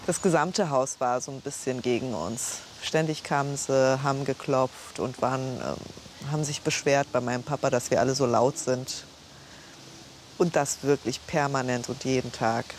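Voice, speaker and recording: A woman in her fifties who runs a refugee shelter, telling stories casually and lively in a documentary interview. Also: rumbling low, normal speed, a little hiss or hum. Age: 50s. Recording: music underneath. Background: music.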